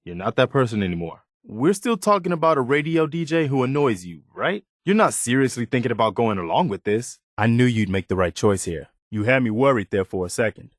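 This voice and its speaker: An actor playing an earnest, loyal militia leader in a video game, speaking in a sincere, measured, conversational way. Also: male voice, mid-range voice, very animated, no room sound, clean studio sound. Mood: disapproving, then friendly, steady. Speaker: video game companion character. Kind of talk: acted video game dialogue lines.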